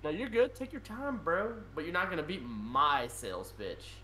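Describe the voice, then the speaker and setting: A man's voice: a little high, wildly swooping pitch, normal speed, sharp tone, slight background noise. A man hosting an online card game with friends, talking casually and swearing freely. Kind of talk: casual profane gaming banter.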